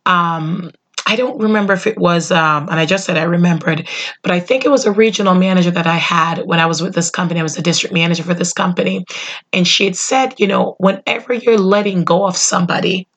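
A woman's voice: lowish voice, fairly bright tone. A middle-aged woman hosting a motivational solo podcast, earnest and emphatic, slightly nasal as she gets over being sick. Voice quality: a little nasal. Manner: earnest, emphatic, slows on key phrases. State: under the weather, voice not fully recovered. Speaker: podcast host.

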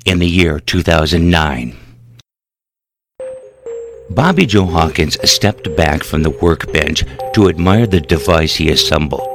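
A man reading his own story as scripted audiobook narration, in a deliberate, dramatic storytelling manner. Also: low-pitched, vocal fry. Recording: music bed under narration. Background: music.

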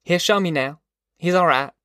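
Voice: mid-range voice, normal speed, fairly bright tone, clear, resonant tone, dry recording, studio quality, pitched above the performer's own voice, close to an everyday voice. A narrator voicing a tough, determined middle-aged farm mother in an audiobook, with blunt, folksy rustic speech. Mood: proud, knowing. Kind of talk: audiobook character voice.

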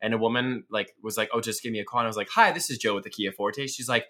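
A man's voice: on the low side, rapid-fire, pushed and bright. A man co-hosting a casual podcast chat, joking and teasing his guests, then reading the show's sign-off. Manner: playful, teasing, reads the show outro. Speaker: podcast co-host.

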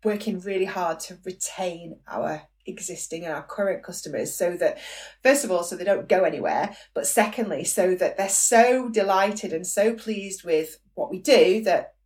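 Croaky-voiced woman hosting a business podcast. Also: on the low side, normal speed, a little mellow, distant mic. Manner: chatty, encouraging, frequent "okay?" and "um". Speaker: podcast host, business coach. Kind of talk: solo podcast monologue.

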